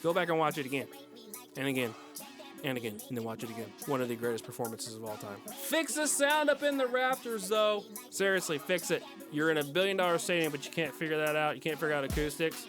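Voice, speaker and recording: A man's voice: on the high side, lively intonation, fast. A man hosting a music podcast, giving a casual, enthusiastic review and repeating words for emphasis.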